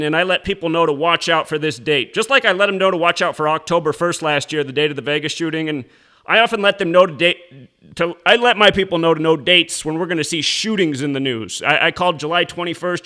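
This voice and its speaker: A man's voice: on the high side, sharp tone. An American man delivering an unscripted commentary as an online show host, in a sardonic, pointed manner. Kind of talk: unscripted conspiracy monologue and commentary.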